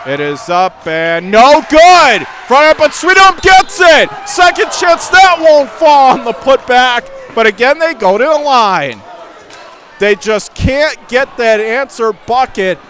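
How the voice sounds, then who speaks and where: A man calling live basketball play-by-play for radio in clipped, urgent phrases that rise into excited calls. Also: very high-pitched, takes it slowly. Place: indoor high school basketball gym. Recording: game crowd and gym noise behind.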